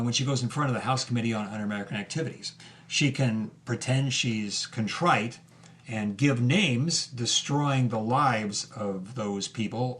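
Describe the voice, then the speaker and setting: A male host tells a historical story in a conversational style. His voice is medium in pitch, and he explains things with deliberate, emphatic phrasing. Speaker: host and narrator.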